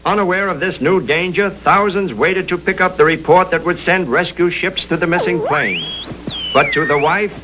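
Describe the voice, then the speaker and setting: A man's voice: sits high, big pitch swings, moderate pace, pushed and bright, faint music behind. A man narrating in a melodramatic, earnest 1930s newsreel style, reading a script with great drama. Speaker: film narrator. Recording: muffled, very noisy old 1930s film soundtrack.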